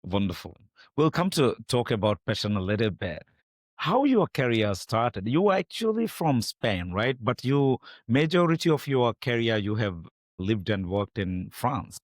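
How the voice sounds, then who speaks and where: A man's voice: mid-range voice, sing-song, unhurried, no echo. Man, podcast host, friendly questions, brief prompts, dry close sound. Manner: friendly, brief prompts, non-native English phrasing. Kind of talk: interview hosting.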